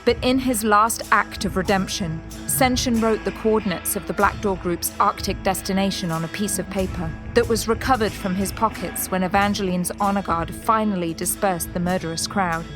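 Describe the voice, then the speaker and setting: A woman narrating a scripted audio drama in a measured, solemn storytelling manner. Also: medium pitch. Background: music.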